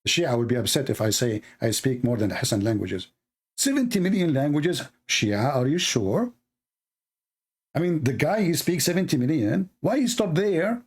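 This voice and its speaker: A man's voice: fairly high pitch, sing-song, normal speed, slightly rough. A man hosting a live call-in debate stream, arguing in a combative, sarcastic way and speaking fluent English with non-native grammar. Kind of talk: heated live-stream argument.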